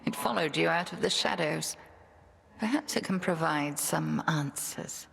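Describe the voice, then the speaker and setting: A woman playing a stern witch headmistress in a video game, speaking formally and gravely. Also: low voice, unhurried, full character voice. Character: stern witch headmistress, cryptic and authoritative mentor.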